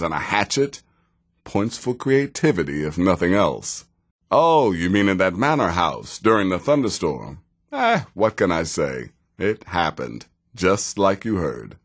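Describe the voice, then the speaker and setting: An actor playing a sardonic, world-weary assassin in a fantasy video game, with a dry, deliberate delivery. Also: male voice, sits low, very animated, heavily acted. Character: sardonic, world-weary veteran male assassin of a dark guild.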